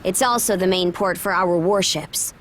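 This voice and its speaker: A woman's voice: on the low side, moderate pace, a little hiss or hum, close to an everyday voice. Woman as frank young seafaring princess. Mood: explanatory. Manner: casual, matter-of-fact. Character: frank, capable young seafaring chieftain's daughter. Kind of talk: video game cutscene acting.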